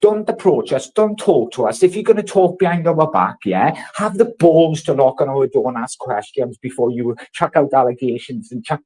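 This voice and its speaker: A man's voice: mid-range voice, animated, normal speed, few pauses. A man in his thirties, from South Wales, ranting angrily to camera with constant swearing. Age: 30s. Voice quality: swears heavily, loud and confrontational. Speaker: vlogger. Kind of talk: angry rant to camera.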